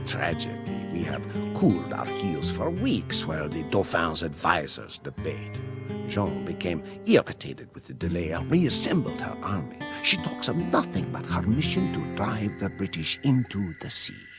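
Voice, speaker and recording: Clear music plays behind a deep-voiced old-world soldier recounting a war. His delivery is solemn and stirred, swelling and falling with lively, theatrical emphasis. It is diary-like storytelling for a video game cutscene, full of devotion. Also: normal speed, full character voice. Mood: grave, resolute. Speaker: narrator. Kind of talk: dramatic scripted game cutscene narration, diary-like.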